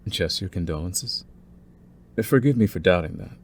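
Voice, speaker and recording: A man playing a young mafia heir in an intimate audio roleplay, smooth-spoken and deliberate, with a cunning, condescending streak beneath his courtesy. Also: deep voice, animated, full character voice. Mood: courteous, probing. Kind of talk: intimate scripted audio roleplay, ASMR acting.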